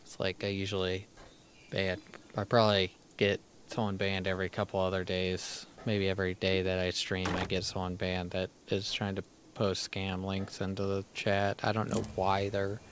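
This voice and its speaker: A man's voice: deep voice, monotone, normal speed. A man streaming a game live, thinking aloud as he plays, half-muttering his plans, with a crackly voice from a dry throat. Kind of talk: casual live gameplay commentary.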